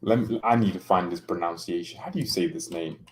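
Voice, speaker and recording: A man's voice: low-pitched, fairly quick. A young man casually streaming about football, joking around and reading out chat comments. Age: young adult.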